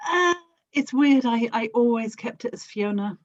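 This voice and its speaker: A woman chatting casually as a podcast guest, with a gentle, giggly way of talking. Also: on the high side, animated, moderate pace, dry recording, dull audio. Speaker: podcast guest, audio drama producer. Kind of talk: casual chat.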